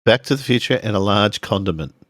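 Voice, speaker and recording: A man in casual, joking table talk as a player in a tabletop game podcast, halting and stammering at times. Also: fairly low pitch, lively intonation, fairly bright tone, no room sound, studio quality.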